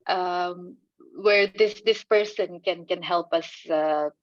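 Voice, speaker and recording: Indonesian-accented woman panelist, low pitch, hesitant and full of fillers, dry and dull audio. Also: no echo. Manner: halting, many fillers and restarts, light laughs. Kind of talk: conference panel answer.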